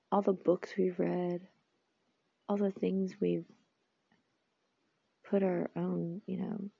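A woman hosting a podcast, talking in a rambling, heartfelt way and often dropping to a soft whisper. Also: on the low side, vocal fry, breathy edge, no room sound, lo-fi sound. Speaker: podcast host. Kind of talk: casual reflective monologue.

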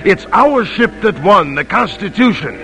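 An older man playing a spirited, determined colonial American father in a 1950s radio drama, declaiming emphatically in a husky voice on an old broadcast recording. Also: sits high, sing-song, measured pace, pushed and bright, soft background music, heavily acted. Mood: triumphant, excited.

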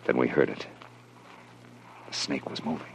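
An actor playing a tough, wisecracking private detective in a classic radio drama, hardboiled, slangy and commanding. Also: male voice, extremely low pitch, normal speed, vocal fry, a little hiss or hum, poor audio. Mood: suspenseful narration. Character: tough, wisecracking male private detective, cool under danger. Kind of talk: classic radio drama acting, hardboiled first-person narration. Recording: old radio drama recording with music.

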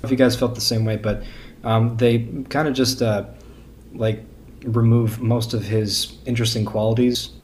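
A man in a casual fan discussion, speaking haltingly as a podcast panelist and often repeating words. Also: mid-range voice, level tone, darkish tone. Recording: faint background noise.

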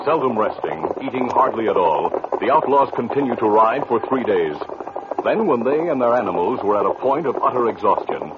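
A man's voice: mid-range voice, lively intonation, brisk. A man delivering scripted, emphatic narration as an old-time radio announcer. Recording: noisy, dull old radio recording.

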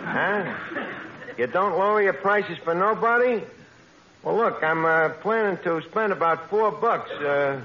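An actor playing a brash, scheming, lowbrow tavern manager with a New York accent and would-be fancy words, in 1940s radio comedy style. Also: male voice, takes it slowly, pauses often, crowd noise. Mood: haggling. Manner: mangled grammar with pretentious fancy words, hemming. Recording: dull old radio recording, noisy.

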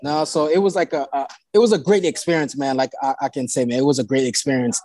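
A man from Haiti telling personal stories as an interview guest in an informal, halting way, often repeating phrases. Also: mid-range voice, fairly even intonation, darkish tone, no echo. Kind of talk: casual personal storytelling.

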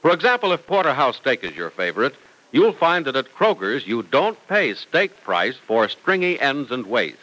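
Old timey radio announcer guy, really animated swoopy delivery, emphatic salesy read, mid pitch. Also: normal speed, rough recording. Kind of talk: 1940s-50s radio announcing, scripted.

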